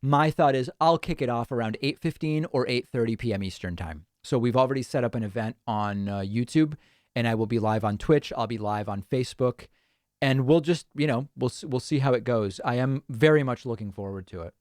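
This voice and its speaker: Man, livestream host, casual chat, thinking out loud, dry close sound. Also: medium pitch, a little fast, dry recording. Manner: chatty, thinking aloud with restarts and small laughs. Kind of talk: casual livestream chat reading viewer comments.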